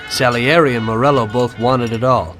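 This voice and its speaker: A man's voice: medium pitch, fairly even intonation, moderate pace, fairly bright tone, faint music behind, close to an everyday voice. Man playing plain-spoken weary mobster storyteller. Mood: matter-of-fact, reflective. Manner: plain-spoken, matter-of-fact. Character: world-weary mobster recounting his past. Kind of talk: narration in a crime video game.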